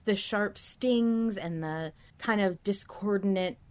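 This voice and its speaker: A woman co-hosting a podcast, chatting casually and enthusiastically about a story as a fan, with an expressive, giggly manner. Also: medium pitch, slow. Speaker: podcast co-host. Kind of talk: casual fan discussion of an audio drama.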